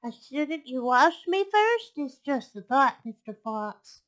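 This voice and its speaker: A little storybook piglet, played by a woman, pitched very high and swinging widely. He pleads in a mock-meek, wheedling way, stretching out his hesitant, polite suggestions. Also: very animated, takes it slowly, a bit bright, full character voice. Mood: meekly wheedling.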